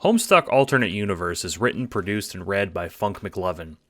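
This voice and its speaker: Low-pitched man narrating an audio drama. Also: expressive, brisk, a bit bright, no room sound, clean studio sound. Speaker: narrator, writer and producer. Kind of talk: scripted audio book narration in second person.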